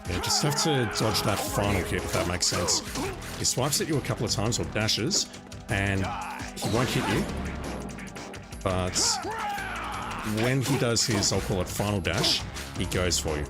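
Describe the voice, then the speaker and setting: A deep-voiced man whose first language is Russian talks through a game in a relaxed, musing way. Game music plays clearly behind him while he chats and comments on the action. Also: unhurried, pauses often. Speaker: streamer. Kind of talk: casual gameplay commentary.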